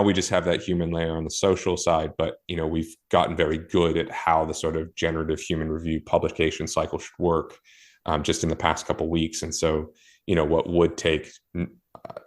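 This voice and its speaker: A man talking casually as a podcast guest, explaining his work thoughtfully with frequent fillers and self-corrections. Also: sits low. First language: not Portuguese. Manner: thoughtful, many fillers and restarts. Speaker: guest, e-commerce marketer.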